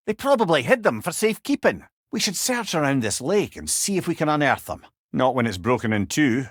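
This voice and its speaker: An actor playing a wise, wry old companion in a video game, speaking with a Scottish accent in a folksy, eloquent way. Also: male voice, mid-range voice, a bit bright, breathy edge, no room sound, studio quality, heavily acted. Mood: warmly encouraging. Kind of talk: video game character dialogue.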